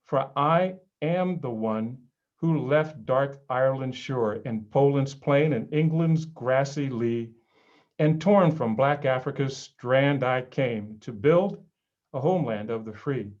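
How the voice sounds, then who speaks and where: Slow, declamatory man reciting poetry. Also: medium pitch, darkish tone, lo-fi sound. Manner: emphatic, declamatory, deliberate phrasing with pauses. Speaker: reader at a literary read-in.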